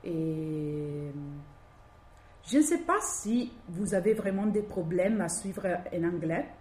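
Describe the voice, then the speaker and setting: Slow, hesitant Italian-accented woman. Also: on the low side, expressive, a little mellow. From Italy. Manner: halting, searching for words with many fillers. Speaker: guest lecturer. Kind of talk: academic talk. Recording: echoey room, faint background noise.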